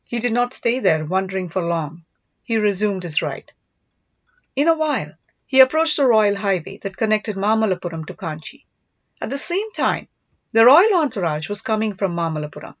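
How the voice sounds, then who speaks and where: A woman narrates an audiobook with a lively, swinging intonation. She reads scripted story prose at a medium pitch. The recording is dry and somewhat muffled, with little room sound. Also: moderate pace, no room sound. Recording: dull, lo-fi, dry close recording.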